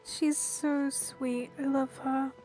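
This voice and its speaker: High-voiced woman reacting while gaming. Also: little pitch movement, slow, mellow. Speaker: game streamer. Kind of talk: casual reactions while playing a game. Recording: game music behind the voice.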